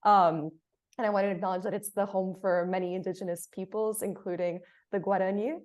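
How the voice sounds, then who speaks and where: A woman's voice: mid-range voice, steady tone, fairly quick, darkish tone. A woman from Brazil speaking as a panelist on a video call, introducing herself and her views. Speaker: panelist, theater artist and editor.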